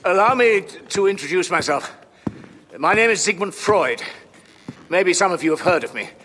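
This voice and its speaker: An actor playing a courteous, long-winded, analytical doctor in a formal, measured audio drama performance. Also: male voice, high-pitched, expressive, pushed and bright, long reverb tail, slight background noise. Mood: courteous, explanatory. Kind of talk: full-cast audio drama acting.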